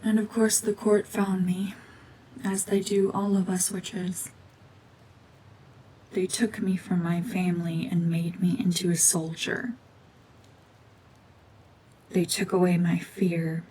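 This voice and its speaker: A cold, battle-worn witch, played by a woman, speaks in a low, breathy hush. Her delivery is brooding and deliberate, with very little rise or fall in tone. It is a dramatic ASMR roleplay, with a faint low rumble in the recording. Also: flat delivery, low-quality recording. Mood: bitter, brooding. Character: cold, mean, world-weary gorgon witch, war veteran cyborg.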